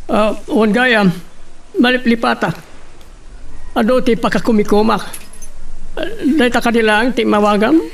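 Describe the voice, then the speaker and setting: A man's voice: extremely high pitch, fairly even intonation, a little slow, creaky, long pauses, distant mic, a little hiss or hum, full character voice. A man playing an older father and boss in an Ilocano radio drama. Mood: stern, inquiring. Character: older father and boss, questioning his daughter.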